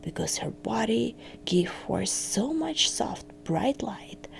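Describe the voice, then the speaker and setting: A woman reading a fairytale as ASMR storytelling, in a breathy, crackly whisper with hushed phrasing and gentle pauses. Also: a little high, sing-song.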